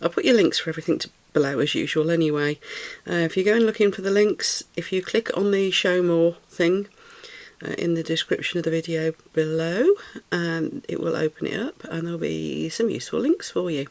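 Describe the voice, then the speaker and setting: A British craft tutor talks through a resin project in a relaxed, rambling way and fondly admires each pigment. The voice is a bit stuffed up and snuffly. It carries a gravelly fry. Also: mid-range voice, fairly quick. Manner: chatty and rambling, thinks aloud, coos over materials. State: slightly snuffly, recovering from a cold. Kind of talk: casual hands-on craft tutorial.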